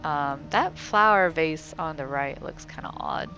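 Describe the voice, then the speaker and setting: A woman's voice: deep voice, a little slow, sharp tone, lo-fi sound, soft background music. A woman giving casual let's play commentary, rambling and thinking aloud in a wry way. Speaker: gamer, let's play host.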